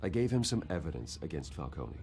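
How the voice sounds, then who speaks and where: Very deep, brooding wealthy man. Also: big pitch swings, brisk, a little mellow, a little hiss or hum, heavily acted. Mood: guarded. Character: wealthy man, brooding, determined, grieving son. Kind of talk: dramatic game voice acting.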